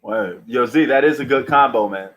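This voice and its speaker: A man's voice: mid-range voice, normal speed, fairly bright tone. A man hosting a live sports stream, chatting casually with slangy, bantering commentary. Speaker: livestream sports host.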